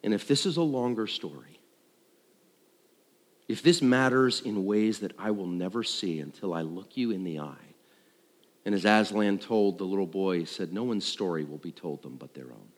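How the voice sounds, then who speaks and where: A man tells a personal testimony to a congregation in a conversational, self-deprecating way, acting out dialogue and thoughts like an animated storyteller. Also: sits low, moderate pace. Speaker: worship leader. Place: large room, speaking to an audience. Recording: live hall with long reverb.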